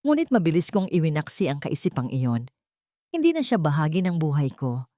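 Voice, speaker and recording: A woman's voice: low-pitched, sing-song, brisk, dark tone, no room sound, clean studio sound. A woman reading a scripted, dramatic first-person story as an audio drama narrator.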